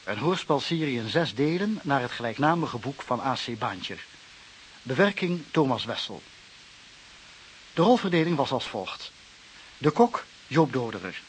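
A man's voice: medium pitch, very animated, normal speed, long pauses, a little hiss or hum, low-quality recording. A man formally reading scripted closing credits as a radio announcer.

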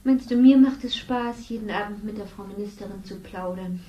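Actress: lower-voiced, dark-toned, jealous, cutting partner; roomy and distant radio-play sound. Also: female voice, a little fast, close to an everyday voice. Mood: bitter. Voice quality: sharp-tongued and scornful. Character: bitter, jealous woman, the man's partner. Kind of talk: 1970s radio drama. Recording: echoey room, distant, faint ambience.

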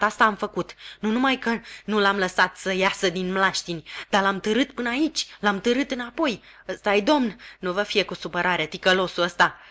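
A woman's voice: medium pitch, a bit bright, close to an everyday voice. A woman voicing a furious escaped male convict who speaks with scorn and savage vehemence in a storybook reading.

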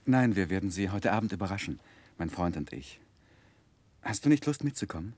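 An actor playing an earnest young gentleman in a 1960s radio play, speaking and narrating in a measured, polite way. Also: low voice, animated, no echo. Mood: earnest, fond. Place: dry radio studio.

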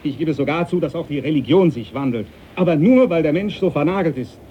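An actor playing a stubborn, pious, hot-tempered ship's captain in a radio drama, blustering and emphatic. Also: male voice, on the high side, animated, a little fast, dull audio, slight background noise, close to an everyday voice. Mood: indignant. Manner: blustering, emphatic, short exclamations.

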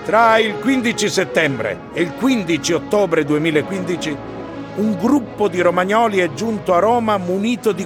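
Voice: mid-range voice, close to an everyday voice. A performer playing a solemn herald, reading a formal, declamatory proclamation for a theatrical radio piece. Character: solemn herald delivering a mock-official proclamation to Romans. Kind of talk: scripted theatrical narration in Italian radio drama. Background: music.

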